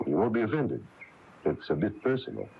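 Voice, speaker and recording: An actor playing a genial, inquisitive small-town minister in a radio drama, coaxing and deliberate. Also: male voice, fairly low pitch, big pitch swings, vocal fry. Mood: curious, coaxing. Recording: dull, noisy taped AM radio broadcast.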